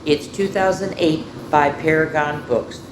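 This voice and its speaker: Female children's story host: slightly low, unhurried, expressive, chatty read-aloud, roomy recording with faint noise. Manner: expressive, addressing young children directly. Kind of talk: picture-book read-aloud with casual asides.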